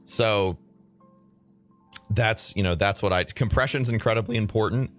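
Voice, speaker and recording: Podcast host man, very low mid-bassy voice, casual and profane, faint music under. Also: moderate pace. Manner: loose, profane, thinks aloud with fillers. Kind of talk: casual advice podcast chat.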